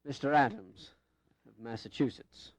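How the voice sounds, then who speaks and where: An actor playing an authoritative presiding officer of a colonial congress, calling out formally in an audio history drama. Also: male voice, medium pitch, measured pace, natural voice.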